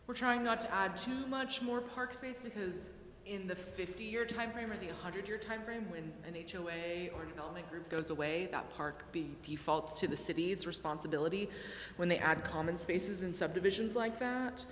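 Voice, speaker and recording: A woman's voice: on the low side, normal speed, fairly bright tone. In an echoing chamber with faint noise, a woman from city staff addresses a meeting. She explains regulations in a polite, procedural way without a script. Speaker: city planning staff member. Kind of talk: unscripted explanation in a public meeting. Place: indoor council chamber. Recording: echoey reverberant chamber with background noise.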